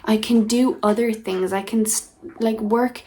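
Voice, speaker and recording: A woman's voice: a little high, steady tone, unhurried, darkish tone, a little hiss or hum. A woman of twenty talking through her feelings in a casual diary video, reflective and rambling, with many fillers and restarts. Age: 20s. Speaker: diary vlogger. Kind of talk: casual personal diary talk.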